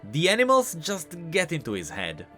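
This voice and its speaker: A man giving scripted, explanatory commentary as a video creator covering game lore, in an animated manner. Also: fairly high pitch, moderate pace, faint music behind.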